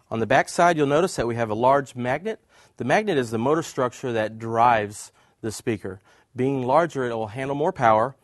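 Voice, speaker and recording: A man's voice: medium pitch, dry recording, studio quality. A man presenting a product demonstration in an instructional, plain-spoken way. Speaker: product presenter for a speaker maker.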